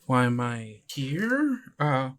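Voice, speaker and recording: A man's voice: medium pitch, very animated, takes it slowly, fairly bright tone. A man voicing a puzzled girl in a tabletop game, speaking with hesitation. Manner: hesitant, questioning.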